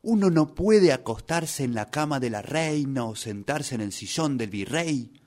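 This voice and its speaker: Un hombre con acento rioplatense interpreta en un radioteatro aficionado a un guía de museo serio, regañón y didáctico, de habla enfática. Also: male voice, mid-range voice, lively intonation, a little slow, darkish tone, close to an everyday voice. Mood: indignant. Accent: Rioplatense Argentine. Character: earnest, scolding, didactic museum guide. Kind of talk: amateur radio play rehearsal.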